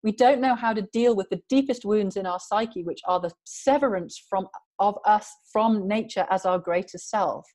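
A woman's voice: medium pitch, moderate pace, darkish tone. A woman guest in an interview, talking earnestly and emphatically in long flowing sentences. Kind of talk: passionate conversational interview.

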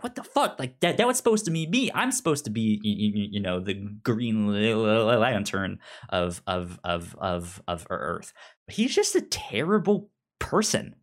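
A man who stutters on syllables and sounds, talking casually and haltingly as a podcast co-host explaining pop culture. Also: on the low side.

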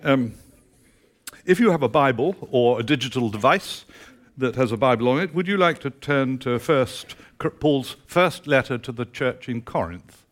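A man preaching to a church congregation, mixing dry teasing humour with steady scripture reading. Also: mid-range voice, normal speed. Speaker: guest preacher. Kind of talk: sermon. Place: church auditorium.

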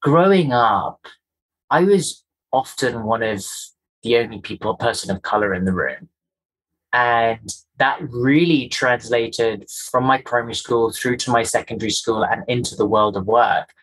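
A man's voice: mid-range voice, unhurried, a bit bright, breathy edge, rough recording. A man from Kenya, a guest in an interview, explaining his ideas earnestly, often in lists of rhetorical questions. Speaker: guest, culture and inclusion executive.

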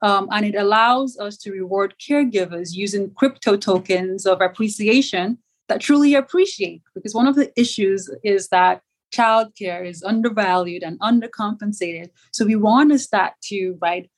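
A woman's voice: mid-range voice, normal speed. Nigerian-raised woman founder, conversational. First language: Yoruba. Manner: conversational, many fillers and restarts. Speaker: startup founder, guest. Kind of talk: casual interview answers.

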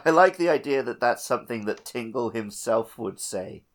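A man's voice: mid-range voice, lively intonation, normal speed. A man hosting a casual gaming podcast chat, jokey and often chuckling as he talks. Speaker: podcast host.

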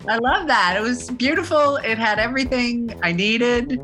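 A woman's voice: fairly high pitch, moderate pace. A woman hosting a live storytelling show, gushing with effusive praise for the last teller. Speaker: host. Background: music.

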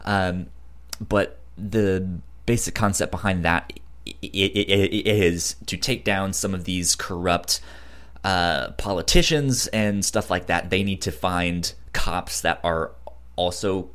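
Low-pitched, slow man with a stutter. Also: fairly bright tone, smooth. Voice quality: frequent stutter, repeating syllables and blocking on words. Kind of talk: casual podcast chat, giving recommendations.

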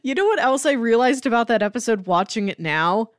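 A woman's voice: fairly high pitch, expressive, brisk, dry recording, studio quality. A woman co-hosting a podcast in casual, unscripted chat, conversational and given to acting out quoted remarks. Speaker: podcast co-host.

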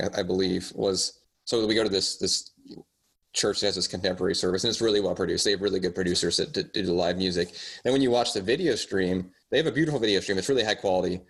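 A man with a low voice chats as a guest on a podcast. His delivery is casual and meandering, and he keeps softening and qualifying his own points. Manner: rambling, tentative, self-qualifying.

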